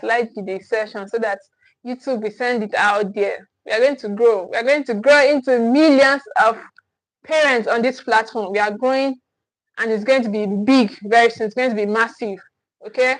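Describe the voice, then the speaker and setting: A woman's voice: a little high, moderate pace, dry recording, poor audio. A young Nigerian woman from Edo State, hosting a live parenting class in an earnest, emphatic way, often repeating herself and asking "okay?". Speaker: parenting teacher and host.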